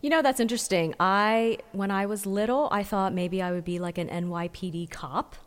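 A woman's voice: mid-range voice, fairly even intonation, moderate pace. A woman in her forties, born in Japan with Japanese as her first language, chatting as a podcast guest and explaining things plainly. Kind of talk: casual interview chat.

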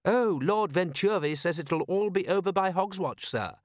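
A man's voice: high voice, normal speed, dry recording, studio quality, pitched above the performer's own voice, lightly acted. A man voicing a dutiful, earnest butler in an audiobook, formal, deferential and eager. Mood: polite insistence.

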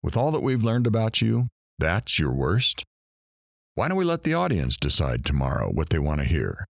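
A wry radio dj, low voice but really swoopy, showy and deadpan, dry studio sound. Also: male voice, creaky, no echo, heavily acted. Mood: wry, reflective. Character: sardonic male morning radio DJ, theatrical and philosophical. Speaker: radio talk show host. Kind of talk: audio drama radio host patter.

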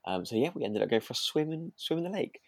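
A man's voice: mid-range voice, sing-song, fast talker, vocal fry, dry recording, studio quality. A man co-hosting a podcast in casual conversation, often trailing off and rephrasing as he goes. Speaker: podcast co-host. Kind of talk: casual chat recapping a radio drama.

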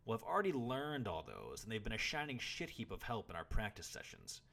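A man's voice: on the low side, sing-song, brisk, creaky, a bit bright, slightly rough, voice pushed up, full character voice. A man voicing a proud, frustrated boy warrior in training, arguing indignantly in an audiobook story. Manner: indignant, argumentative.

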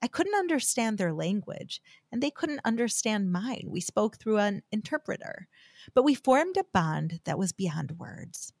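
A woman's voice: on the high side, dry recording, clean studio sound. An American woman giving a heartfelt, scripted devotional talk that she tells as a personal story. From United States.

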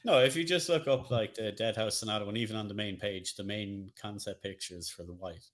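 Low-pitched man chatting as a podcast co-host. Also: brisk. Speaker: co-host, streamer. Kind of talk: casual podcast chat.

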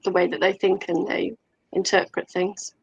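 A woman's voice: lowish voice, little pitch movement, normal speed, vocal fry, slightly rough, no room sound, highs cut off. A woman from Wales, a guest in a casual chat, talking thoughtfully with hesitant fillers. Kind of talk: casual video-call chat.

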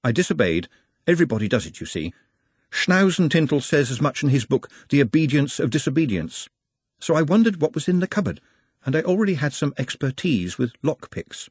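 A man voicing an earnest, polite, scholarly orc in a storybook, with formal, measured phrasing. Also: a little high, sing-song, husky, no echo, voice pushed up. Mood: apologetic, confessional.

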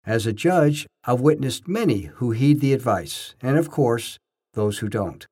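A man's voice: mid-range voice, expressive, a little slow, warm tone, breathy edge. A man reading scripted first-person narration, telling his own experiences as a narrator. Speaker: narrator, bodybuilding judge.

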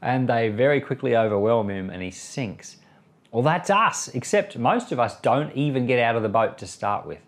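Male pastor, medium-pitched voice, informal Bible teaching, conversational, earnest, explanatory. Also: expressive, moderate pace. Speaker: pastor and Bible podcast host. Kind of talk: informal Bible commentary and prayer.

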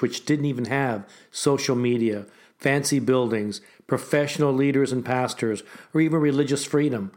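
A man hosts a ministry podcast and opens it with a prepared introduction. He speaks earnestly at an even, measured pace. He builds his points as lists of short phrases. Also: mid-range voice. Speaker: podcast host.

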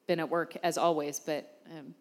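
A woman's voice: low voice, steady tone, moderate pace. A woman hosting church testimonies, introducing speakers and praying, with many filler ums. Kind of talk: introductions and prayer.

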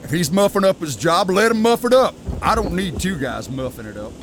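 A man playing a gruff, bullying 1890s logging camp boss, barking folksy insults and orders in an audio drama. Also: high voice, big pitch swings, a little fast, a little hiss or hum. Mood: angry, barking. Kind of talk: dramatic acting in an audio storyboard.

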